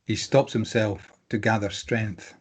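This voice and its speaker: A man's voice: lowish voice, steady tone, measured pace, husky. A man giving scripted narration, reading out scene headings and stage directions for a drama.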